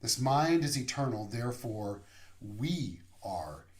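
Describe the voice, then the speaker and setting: A male minister speaking at an unhurried pace, leaving long pauses and letting his phrases settle. His voice sits at an ordinary middle pitch. The sound carries a noticeable room echo. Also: big pitch swings, a little mellow. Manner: slow, reflective, pausing between phrases. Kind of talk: sermon. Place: room, via online service. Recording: roomy echo.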